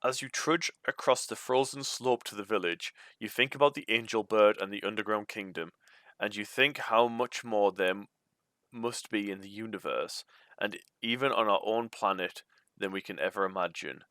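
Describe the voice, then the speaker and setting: Bright-voiced man reading a gamebook aloud. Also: lowish voice, normal speed, dry recording, studio quality. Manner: informal, chatty asides. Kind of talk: storybook read-aloud with casual commentary.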